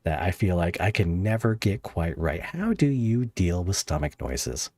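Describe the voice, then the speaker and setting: A man with a deep voice and very lively intonation hosts a casual interview. He is playfully dramatic in how he puts things. The recording is close and dry, with no room sound. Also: a little slow, dry recording. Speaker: podcast host, interviewer.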